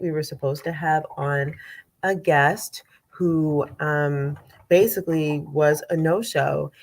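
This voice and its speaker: A congested woman conflict coach hosting her show, chatting casually in a rambling way with many fillers and repeats. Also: low voice. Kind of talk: casual live show chat.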